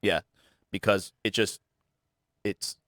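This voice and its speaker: A man with a very low, deep voice speaks candidly in an interview. His talk comes in halting bursts, full of restarts and repeated words, earnest and self-critical. The sound is dry and close, with no room around it. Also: fairly even intonation, a bit bright, no echo. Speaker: guest, realtor.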